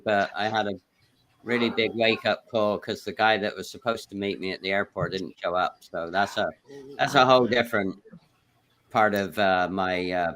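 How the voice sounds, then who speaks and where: A man on a casual panel, answering with a laugh and letting his sentences trail off softly. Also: fairly low pitch, slight background noise. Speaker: podcast guest.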